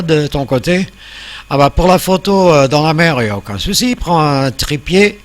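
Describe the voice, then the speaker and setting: A man with a mid-pitched voice talks casually as a radio operator. He is from Portugal, and his manner is friendly and explanatory. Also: fairly bright tone.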